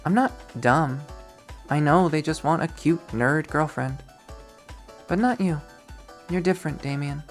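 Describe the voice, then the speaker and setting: A man voicing a sweet but scheming young college woman, coy and swooping up high as he reads a visual novel. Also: measured pace, long pauses, soft background music, natural voice. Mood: confiding.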